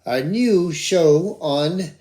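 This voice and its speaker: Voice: medium pitch, extremely slow, mellow. An amateur puppeteer voicing a zany professor announcing wildlife programs in a puppet show. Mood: promotional, excited. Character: professor animal presenter, zany, excitable.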